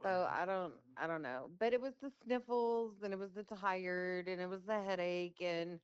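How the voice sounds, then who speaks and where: A woman's voice: lowish voice, normal speed, clean tone, dry recording, lo-fi sound. A middle-aged woman co-hosting a podcast in casual chat, grumbling that she's tired and swearing casually. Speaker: podcast co-host.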